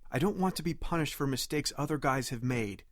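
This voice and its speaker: A man's voice: mid-range voice, expressive, brisk, dry recording, studio quality, natural voice. An actor playing a defensive, immature boyfriend in his thirties in an audio drama, emotional and indignant. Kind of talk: naturalistic audio drama acting.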